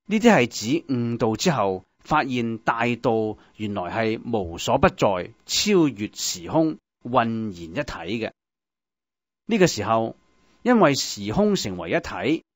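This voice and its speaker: Male Hong Kong Cantonese narrator for Buddhist teaching; unhurried, with lively rise and fall. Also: mid-range voice, no echo, clean studio sound. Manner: measured, recites verse expressively.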